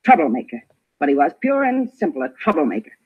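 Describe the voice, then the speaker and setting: A huffy, self-important busybody woman in an old radio drama. Her voice is husky and swoops about as she argues in clipped bursts. The vintage recording is dull. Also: sits low, very animated, fast talker, full character voice. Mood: spiteful, gloating. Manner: huffy, argumentative, clipped emphatic phrasing. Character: nosy, prickly, self-important gossiping female neighbor. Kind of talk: 1950s radio drama acting.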